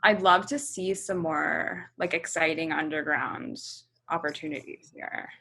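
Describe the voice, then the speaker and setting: A woman in her thirties who grew up in Hong Kong, a musician answering interview questions in a relaxed way, thinking aloud with frequent ums and likes. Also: deep voice, unhurried, creaky, slightly rough.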